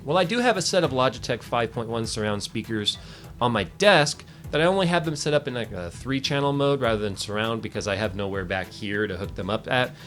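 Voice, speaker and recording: A man's voice: medium pitch, fairly quick, a bit bright, soft background music. A man giving a casual, opinionated, conversational product review as host.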